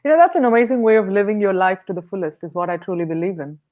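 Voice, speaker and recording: Upbeat woman hosting a podcast, mid-pitched voice, cheers on her guest. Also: lively intonation, rapid-fire, darkish tone. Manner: upbeat and encouraging. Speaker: podcast host. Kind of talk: interview hosting.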